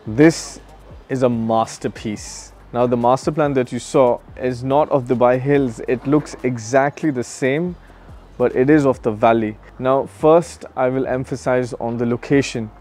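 A man's voice: medium pitch, a little mellow. A man giving an emphatic, salesy promotional presentation as a real estate agent, putting questions to the viewer.

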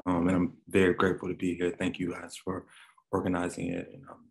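A man's voice: sits low, completely flat delivery, fairly quick. A man from Miami giving a casual, friendly self-introduction on a video call. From Miami, Florida. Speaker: roundtable participant.

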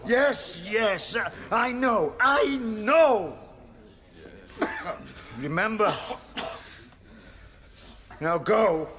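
A fire-and-brimstone prison chaplain in a radio play. His voice is high-pitched for a man, and he speaks in a pompous, declamatory way, full of pious zeal. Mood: ranting, furious, then pious, kindly. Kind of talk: radio drama acting, preaching.

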